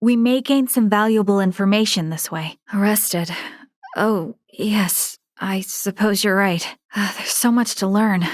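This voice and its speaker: A woman voicing an earnest, dutiful young female starship first officer in a video game, with formal, clearly articulated military speech. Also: medium pitch, no echo, studio quality, lightly acted. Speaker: game character voice actor.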